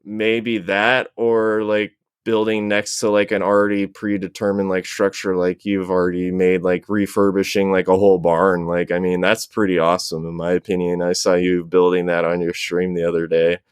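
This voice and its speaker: Man, low pitch, clean smooth tone, dry close sound, casual, halting, filler-heavy. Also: completely flat delivery, dry recording. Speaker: podcast panel guest. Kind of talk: casual chat.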